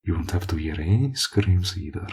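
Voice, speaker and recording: A man playing a smug, menacing villain in an audio roleplay, taunting and mock-polite, possessive, with a gravelly, husky voice. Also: low-pitched, lively intonation, darkish tone, heavily acted. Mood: possessive, condescending. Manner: taunting, mock-polite, deliberate drawl with drawn-out threats. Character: smug menacing male villain, possessive toward a former friend. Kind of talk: scripted audio roleplay drama.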